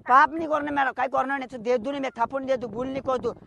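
A woman's voice: sits high, fast, vocal fry, background noise. A woman in a heated argument on a phone call, scolding abusively and repeating herself.

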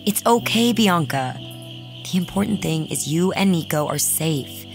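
Woman as a blunt, low-voiced teenage girl. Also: lively intonation, measured pace, gravelly, faint music behind. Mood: practical, concerned. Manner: blunt, direct. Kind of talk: audio drama acting.

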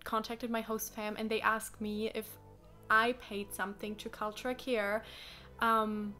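A woman's voice: a little high, flat delivery, measured pace, pushed and bright, soft background music. A young woman whose first language is German, chatting casually and answering questions in her living room, full of fillers and acted-out quotes. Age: young adult. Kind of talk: casual chat. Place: small living room with sofa, indoors.

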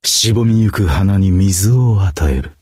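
Game role: masked fox phantom thief, flowery and courtly, widely swooping pitch, unhurried, dry close sound. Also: male voice, animated, a little mellow, husky, no room sound, exaggerated voice. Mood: gently soothing. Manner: flowery, courtly, dramatic, drawn-out phrasing. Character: theatrical masked fox gentleman phantom thief, suave and poetic. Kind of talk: stylized Japanese game character voice acting.

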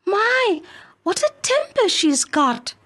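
A woman voicing a cheerful, wide-eyed young girl who talks with a bright, wondering manner in a storybook reading. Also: very high voice, sing-song, takes it slowly, voice pushed up, exaggerated voice.

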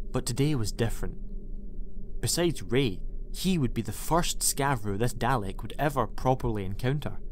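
A man's voice: medium pitch, a little hiss or hum. A man reading a scripted science fiction story as an audiobook narrator. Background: music.

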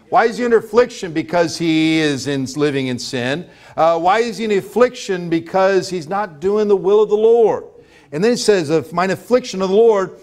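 A middle-aged American man preaching a church sermon, emphatic and repetitive, asking rhetorical questions. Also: high voice. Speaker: pastor preaching. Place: church sanctuary, at a pulpit before a congregation.

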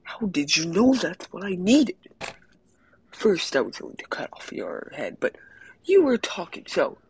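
A young man reading a cartoon game villain's lines aloud in his own slightly raspy voice. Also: sits high, huge pitch swings, unhurried, a little mellow, slight background noise, voice pushed up, heavily acted, low-quality recording. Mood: smug.

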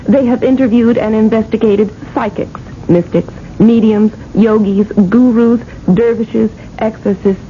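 An American actress playing an efficient, unflappable corporate secretary in a radio drama, reporting in a formal, matter-of-fact way. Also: female voice, on the high side, steady tone, a little slow, hardly any pauses, natural voice. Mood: crisp, businesslike. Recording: dull vintage radio recording, faint noise.

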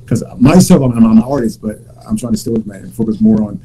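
A man's voice: on the low side, a little fast, mellow, a little hiss or hum, rough recording. A Liberian-born man on a video call, talking casually as a guest and rambling, with repeated words and lots of fillers. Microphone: laptop webcam on video call.

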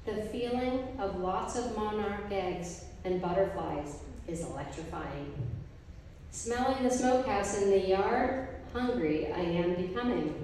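A middle-aged woman reading a group poem aloud from paper pages at a gallery event, in a slow, measured recitation. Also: mid-range voice, dark tone, recorded from a distance, a little hiss or hum. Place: art gallery, indoors, mid-sized room. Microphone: handheld mic, filmed from a distance. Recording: echoey gallery room, from afar.

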